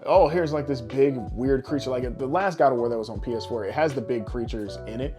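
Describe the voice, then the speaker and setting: A man's voice: mid-range voice, a little fast, music in the background. A man co-hosting a podcast, chatting casually and informally, often explaining things through examples. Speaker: podcast co-host.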